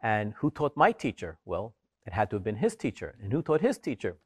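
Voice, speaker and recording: A man in his fifties, a Torah scribe, talking in an animated, storytelling way with humorous asides. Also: fairly low pitch, brisk, slightly rough. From Israel. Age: 50s. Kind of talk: interview soundbite.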